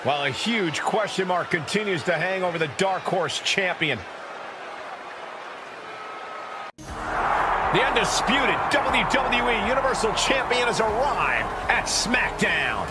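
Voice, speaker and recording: A man acting as an earnest, excitable lead wrestling commentator in a video game, calling play-by-play dramatically. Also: high-pitched, measured pace, over loud music. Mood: dramatic. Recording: very noisy arena background.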